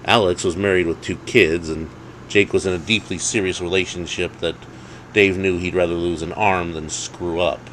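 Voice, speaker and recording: A man's voice: low-pitched, steady tone, normal speed, a bit bright, slight background noise, low-quality recording. A man reading a scripted story aloud as its narrator. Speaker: author and narrator.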